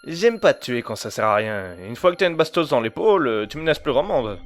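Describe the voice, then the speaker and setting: A man's voice: mid-range voice, big pitch swings, fairly quick. A man playing a level-headed underworld agent in a French audio drama, with a dry, colloquial, slightly exasperated manner. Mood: argumentative.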